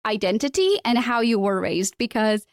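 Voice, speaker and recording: Chatty woman host, higher voice. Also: very animated, normal speed, no echo, studio quality. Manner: chatty, many "like" fillers, laughs often. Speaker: podcast host. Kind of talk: casual conversational interview.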